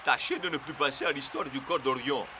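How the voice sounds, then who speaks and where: A man's voice: medium pitch, a little fast, background noise, natural voice. A man playing an encouraging, confident soccer team leader in a French-dubbed cartoon, rallying others in casual slang.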